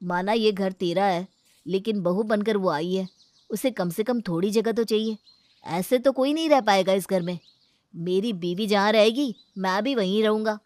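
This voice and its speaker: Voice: mid-range voice, normal speed, darkish tone, no echo, close to an everyday voice. A performer voicing an earnest adult son pleading as a husband in a family story.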